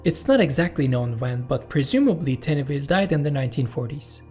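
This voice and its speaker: Man narrating, mellow voice. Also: mid-range voice, animated, faint music behind. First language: Turkish. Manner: measured, explanatory, with personal asides. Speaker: channel host and narrator. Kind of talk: scripted documentary narration.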